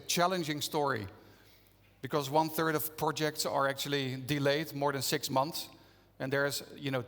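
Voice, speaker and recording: Man, Dutch accent, fairly high voice, long hall reverb, conference talk to an audience. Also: a bit bright. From Netherlands. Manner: conversational, engages audience with questions. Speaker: conference speaker. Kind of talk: conference keynote presentation. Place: conference venue stage.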